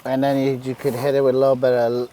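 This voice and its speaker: A man from Iran, a guest chef, calmly talking through dishes outdoors, step by step with a few fillers. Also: mid-range voice, fast, slight background noise, low-quality recording. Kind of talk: casual cooking chat. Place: outdoors at a villa table.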